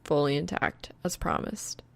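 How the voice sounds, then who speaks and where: A woman voicing an awkward, smitten young man gamer with a low-key deadpan delivery. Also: unhurried, vocal fry, full character voice.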